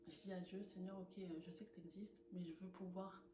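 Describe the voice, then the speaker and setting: A young woman from France sharing her personal faith testimony in an earnest, animated way, laughing often. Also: lowish voice, brisk. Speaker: testimony speaker. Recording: echoey room with noise.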